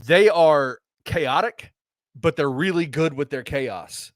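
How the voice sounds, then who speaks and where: Man, sports co-host: slightly high voice, easygoing and conversational, dry close recording. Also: slow pace, no echo. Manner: conversational, thinks aloud with fillers. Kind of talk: casual sports chat.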